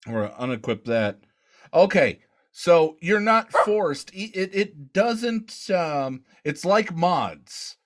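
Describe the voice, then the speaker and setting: A man's voice: on the high side, very animated, slow pace. A man co-hosting a gaming podcast, chatting casually and thinking aloud as he tries things out in a game. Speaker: podcast co-host.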